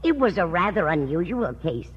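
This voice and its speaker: A woman's voice: lowish voice, very animated, normal speed. An actress playing a chatty, scatty older landlady in vintage radio comedy style, folksy and gossipy. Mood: chatty, gleeful. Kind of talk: 1940s-50s radio sitcom acting. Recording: dull old-time radio recording, faint noise.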